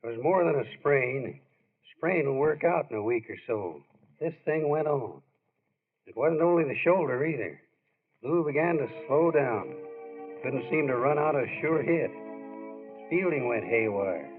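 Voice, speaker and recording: Husky old-radio newspaperman. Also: medium pitch, expressive, a little slow, soft background music. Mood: somber. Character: wisecracking newspaperman friend, turned somber storyteller. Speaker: narrator and reporter character. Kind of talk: 1940s radio drama acting. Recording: tinny, husky-sounding old radio recording.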